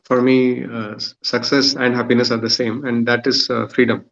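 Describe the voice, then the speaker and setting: A man answering as a podcast guest in short, reflective phrases, deliberate and repeating words for emphasis. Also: mid-range voice, flat delivery, gravelly, fairly bright tone, lo-fi sound.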